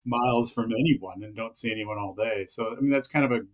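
Rambling man with a low voice. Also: fairly quick. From Pacific Northwest of the US. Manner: rambling, many fillers and restarts. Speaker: podcast guest, peakbagger. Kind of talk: casual interview chat.

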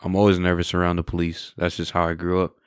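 A man's voice: low voice, completely flat delivery, quick pace, smooth, no room sound, studio quality. A man in his twenties sharing his testimony in a candid, reflective, conversational way. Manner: candid, reflective, often adds "right?" and "you know". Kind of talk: casual conversational storytelling.

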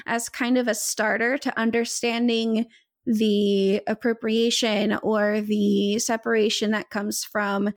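A woman's voice: on the high side, dry recording, clean studio sound. A woman hosting a podcast interview, chatty and laughing often as she talks. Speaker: podcast host. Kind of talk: casual interview chat.

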